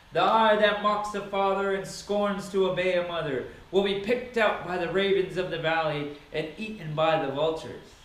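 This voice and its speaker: A man with a high-pitched voice reads scripture aloud in a dramatic style. His delivery is solemn and declamatory, with each phrase set out deliberately. The recording is drenched in echo. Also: a bit bright. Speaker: Bible reader. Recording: very echoey, reverberant sound.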